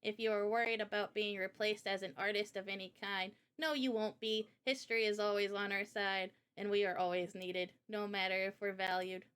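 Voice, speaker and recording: A woman's voice: mid-range voice, flat delivery, normal speed, hardly any pauses, fairly bright tone, clean tone. A woman streamer and game developer chatting casually with her audience in an informal, slangy way. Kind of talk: casual livestream chat.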